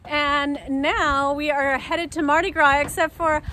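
A woman narrates her vlog in a high, plain voice. She keeps it measured, with clear noise running behind her recording. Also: steady tone, bright, forceful tone. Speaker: vlogger. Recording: noisy outdoor recording.

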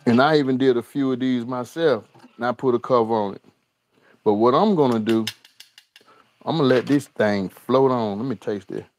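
A man's voice: medium pitch, normal speed. A man hosting a live cooking stream from his kitchen, chatting with viewers as y'all and repeating his lines. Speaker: cooking show host. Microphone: overhead camera on the skillet, speaker unseen.

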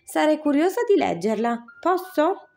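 A woman's voice: high voice, big pitch swings, unhurried, creaky, a little mellow, faint music behind, voice pushed up, exaggerated voice. A woman voicing a fussy, curious female giraffe in a storybook, prim and squeamish.

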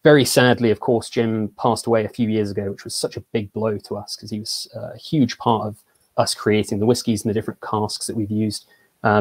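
A young English man from Surrey, a brand ambassador presenting in an online tasting, relaxed and hesitant with many fillers. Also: fairly low pitch, no room sound. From England (Surrey). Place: small home room. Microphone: video call.

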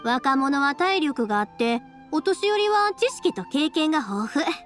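A woman's voice: sits high, very animated, unhurried, soft background music. A woman playing a cheerful young fireworks maker in an anime game, breezy and friendly, with a Kansai accent. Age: young adult. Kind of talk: Japanese anime game voice acting.

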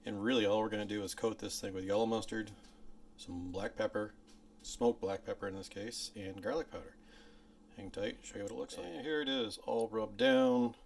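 A man hosting his own home cooking channel, explaining each step in a relaxed, chatty way while filming on a handheld phone in his kitchen. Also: normal speed, vocal fry, a little hiss or hum. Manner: louder and higher outdoors by the smoker. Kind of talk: casual cooking tutorial. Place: home kitchen, then outdoors at a backyard smoker. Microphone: phone camera held by the speaker.

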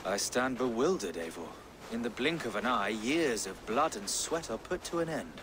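A man playing a courteous, pious medieval bishop in a video game, speaking in a formal, measured manner. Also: medium pitch, expressive, noisy background, natural voice. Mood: gracious. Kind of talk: video game dialogue acting. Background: music.

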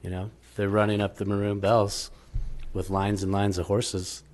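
A middle-aged business owner answering questions at a public meeting, defensive and quick to argue with figures. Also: male voice, fairly low pitch, flat delivery, a little mellow. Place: town council meeting room, indoors. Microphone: desk microphone.

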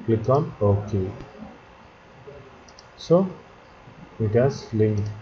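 A man narrating a step-by-step software tutorial as an instructor, speaking in short, halting instructional fragments. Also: lowish voice, lively intonation, noisy background. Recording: dull lo-fi audio, background chatter, clicking.

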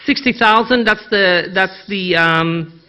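High-pitched, bright-voiced man with a Persian accent. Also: takes it slowly, poor audio. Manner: emphatic, rhetorical questions, repeats key phrases for effect. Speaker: conference speaker, Iran analyst. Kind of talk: conference presentation, persuasive analysis.

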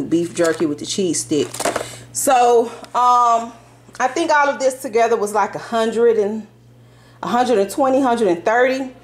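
A relaxed woman chats her way through a grocery haul in her kitchen, with plenty of ums, likes and y'alls aimed at her viewers. Her voice sits at a middle pitch. A faint hiss and the odd click of handled packages sit under her voice on a phone recording. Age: adult parent. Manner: relaxed, filler-heavy, addresses viewers as y'all. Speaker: vlogger showing a grocery haul. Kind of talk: casual chatty haul vlog. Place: home kitchen, indoors.

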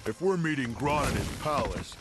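A man's voice: medium pitch, lively intonation, moderate pace, heavy background noise, lightly acted. An actor playing a brash, dim hulking male mud monster in a video game.

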